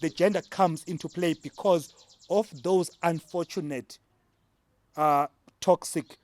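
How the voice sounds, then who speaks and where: A man's voice: on the high side, steady tone, measured pace, a little mellow. A middle-aged South African man from the Eastern Cape, a native Xhosa speaker, arguing his point emphatically and repeating key lines in a casual debate. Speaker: discussion panelist.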